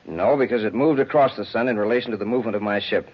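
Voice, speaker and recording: Earnest, husky young-officer type played by a man. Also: fairly low pitch, quick pace, close to an everyday voice. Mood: troubled. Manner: intense, insistent. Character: earnest, shaken male space patrol lieutenant. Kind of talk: 1950s radio serial acting. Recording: dull 1950s radio recording.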